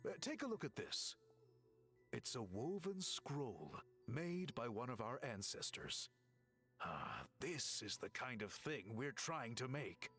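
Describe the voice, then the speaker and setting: Casting: courteous game artisan, mid-pitched man, expressive melody, measured and explanatory. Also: faint music behind, heavily acted. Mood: pondering. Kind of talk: video game voice acting.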